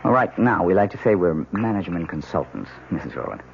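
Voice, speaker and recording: An actor playing a confident, easygoing newlywed husband in an old-time radio drama, speaking in an agreeable way. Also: male voice, sits low, sing-song, fast, gravelly, exaggerated voice. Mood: polite, confident. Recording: dull, noisy old radio broadcast.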